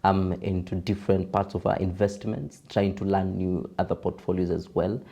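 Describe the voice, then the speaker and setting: A young Kenyan man with a deep voice, a broadcaster and voiceover artist chatting as a podcast guest, laughing easily and mixing in Swahili. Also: flat delivery, moderate pace, gravelly, warm tone, slightly rough. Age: young adult. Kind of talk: casual interview chat.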